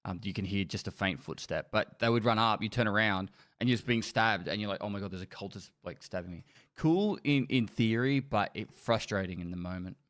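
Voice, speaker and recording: A man with a fairly low voice hosts a casual podcast. He talks in a chatty, joking way and slips into exasperated rants. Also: fairly quick, fairly bright tone. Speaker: podcast host.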